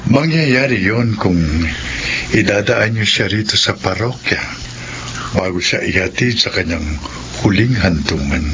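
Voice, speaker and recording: A calm, helpful Filipino parish priest speaks in a low voice in a radio drama. The recording is muffled, with noticeable noise. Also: male voice, measured pace. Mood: calm, assuring.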